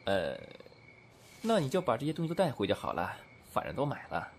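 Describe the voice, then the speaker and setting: Lovesick young businessman, very expressive male actor. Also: medium pitch, a little fast, a little hiss or hum. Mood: pleading, hurt. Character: lovesick, persistent, sheepish young businessman suitor. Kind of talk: amateur audio drama acting.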